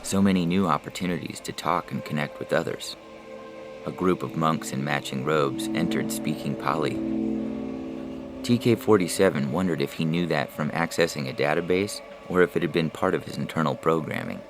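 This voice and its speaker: A man's voice: very deep voice, normal speed. A man reading scripted science fiction narration as a storyteller. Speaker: author and story reader. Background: prominent music.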